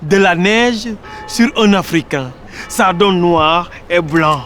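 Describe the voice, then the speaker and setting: A man performs a theatrical monologue, declaiming each phrase with dramatic pauses. His voice sits high for a man, and he takes his time, letting lines land slowly. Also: animated, fairly bright tone, soft background music. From Senegal, Casamance. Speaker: actor.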